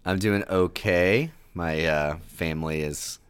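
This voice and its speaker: A man in his thirties, a pastor, talking through his week in a casual, reflective way with many fillers, his voice slightly hoarse from a cold. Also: low voice, takes it slowly, no echo. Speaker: pastor, podcast guest. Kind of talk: casual conversation.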